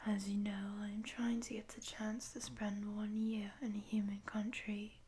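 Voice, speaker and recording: A woman voicing a friendly, curious lamia in a roleplay, in a soft breathy whisper, teasing and affectionate, taking her time. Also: medium pitch, slight background noise, close to an everyday voice. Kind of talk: whispered roleplay audio addressed to the listener.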